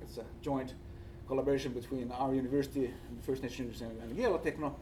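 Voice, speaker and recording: A man with a Finnish accent presents research in a halting way, often searching for his next word. His voice sits at an average male pitch. It is picked up from some distance from the microphone, with faint noise behind it. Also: fairly even intonation, darkish tone. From Finland. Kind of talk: conference presentation with slides.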